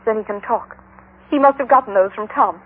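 A woman's voice: a little high, fairly quick, slightly rough. An actress playing an earnest, worried young woman in a vintage radio drama, with an American accent. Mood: anxious. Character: anxious, earnest young sister of a missing man. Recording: thin, telephone-like old radio recording.

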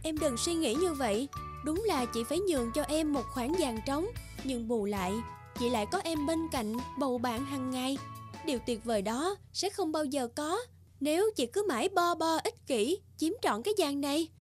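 Woman as a gentle big-sister flower, high voice. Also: animated, moderate pace, soft background music. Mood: reassuring. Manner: soothing, caring. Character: gentle, generous older-sister luffa flower. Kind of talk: children's cartoon fairy tale dubbing.

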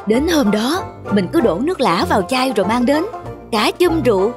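Scheming cartoon village wife, high scolding voice, music playing behind. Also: female voice, big pitch swings, moderate pace. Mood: sly. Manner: scolding, sly. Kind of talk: animated folk tale dubbing.

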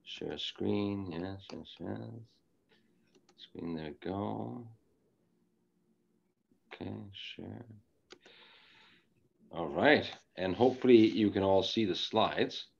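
A very deep-voiced middle-aged man from Denmark talks through a work presentation over a video call from his living room. He rambles with plenty of fillers, restarts and doubled words. The sound is dull and lo-fi, like a laptop webcam mic. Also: slow, gravelly, pauses often. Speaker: engineering executive presenter. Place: living room at home, evening.

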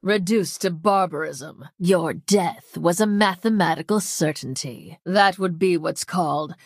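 A woman's voice: lowish voice, a little slow, husky, no room sound, studio quality. An actress playing a coldly arrogant female mad scientist villain in a video game, with a clinical, mocking manner and precise diction. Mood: clinical, sadistic. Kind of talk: theatrical video game voice lines.

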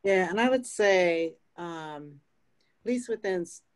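A woman's voice: lowish voice, animated, slow. Older lady union rep on a video call, emphatic, keeps saying right?. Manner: emphatic, tags points with right?. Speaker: union representative. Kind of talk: panel discussion.

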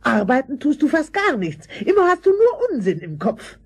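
An actress playing a stingy, scolding aunt in a fairy-tale audio play, querulous and husky. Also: female voice, sits high, huge pitch swings, moderate pace, exaggerated voice. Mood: scolding, complaining. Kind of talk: German fairy-tale audio drama acting.

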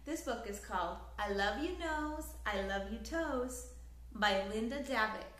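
High, animated woman reading to small children. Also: a little slow. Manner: expressive, sing-song, addressing small children. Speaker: children's story time host. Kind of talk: animated picture-book read-aloud for young children. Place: small living room, indoors on a couch. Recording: echoey living room.

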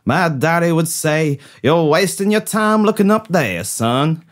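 A man performs a folksy Southern factory worker in a comedy sketch. He has a Southern US accent, a slightly high male voice and very animated intonation, with earnest, old-fashioned politeness. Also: a little slow. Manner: polite, drawling folksy idioms. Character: folksy, courteous Southern toy-factory worker turned astronaut applicant. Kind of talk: comedy role-play read from a script.

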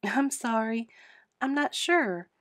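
A woman voicing a flustered but firm middle-aged female innkeeper in a storybook, brisk and emphatic. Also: fairly high pitch, animated, fairly bright tone, no room sound, studio quality, voice pushed up. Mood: brisk, polite.

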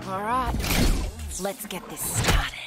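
Cocky Australian wasteland fighter, unhurried. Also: female voice, mid-range voice, animated. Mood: taunting. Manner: taunting, swaggering. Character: cocky, defiant female wasteland scavenger fighter. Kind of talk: animated film acting. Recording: film playback under loud music. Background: loud cinematic music.

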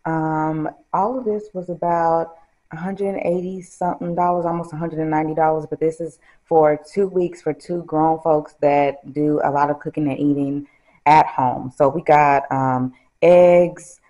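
A woman's voice: low-pitched, fairly even intonation, a little slow, dark tone. A woman in her thirties chatting casually to camera as a vlogger, talking to her viewers like a girlfriend, partly filmed in a parked car on a phone. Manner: chatty, addresses viewers as girl. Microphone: phone camera at arm's length.

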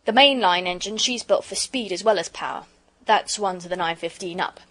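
A woman's voice: lowish voice, moderate pace, sharp tone. A woman voicing a cheerful working-class railway porter who talks in a broad, chatty dialect.

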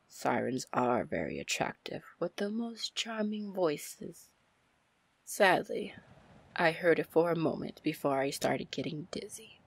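A woman playing a confident, teasing female pirate captain in an ASMR fantasy roleplay, flirtatious and commanding, with a husky, gravelly voice. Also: lowish voice, no echo, heavily acted. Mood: sly, coaxing. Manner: slow, drawling, flirtatious terms of endearment.

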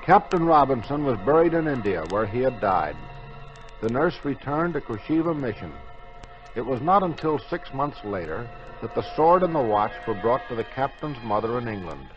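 A man's voice: mid-range voice, very animated, normal speed. A man hosting and narrating a dramatic 1950s radio program of strange tales. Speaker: narrator and host. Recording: dull 1950s radio recording with music.

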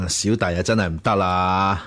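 An actor playing a jovial, flattering hanger-on to a rich man in a Chinese period radio drama. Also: deep voice, a little slow. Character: fawning, jovial male hanger-on and sworn younger brother.

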